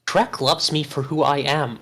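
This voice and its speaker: A man's voice: fairly high pitch, steady tone, measured pace, pushed and bright, slight background noise. A man playing an indignant, defensive young princess in a comic table read. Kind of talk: comic table read of an animated film script.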